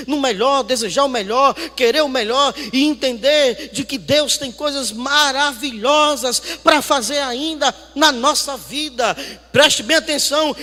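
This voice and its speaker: A man's voice: extremely high pitch, sharp tone. A man preaching as a pastor, emphatic and repeating phrases for weight. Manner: emphatic, repeats phrases for emphasis, swings between conversational and declamatory. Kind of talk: sermon. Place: church hall. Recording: echoey hall with long reverb.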